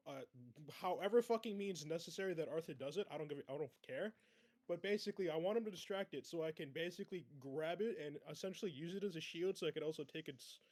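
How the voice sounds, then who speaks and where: A man's voice: a little high, a little mellow, no room sound. A man chatting casually as a player in a tabletop roleplaying game, blunt and heavily profane.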